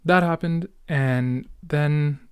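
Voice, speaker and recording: A man's voice: fairly high pitch, very slow, clean tone, no echo. A young man, chatting casually and in a rambling way as he hosts a solo podcast. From Canada. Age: late teens, young adult. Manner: rambling, self-interrupting, youthful slang. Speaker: podcast host. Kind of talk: casual solo chat, reminiscing.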